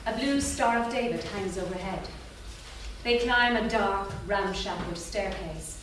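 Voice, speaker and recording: A woman's voice: medium pitch, measured pace. A woman narrating stage directions at a live staged script reading. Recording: echoey theatre, far mic, noisy.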